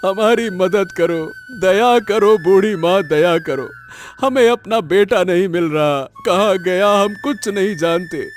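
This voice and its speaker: A man's voice: high voice, slow pace, soft background music. A man voicing a desperate, grieving village father in a melodramatic cartoon story, with an overwrought delivery that repeats words. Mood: pleading.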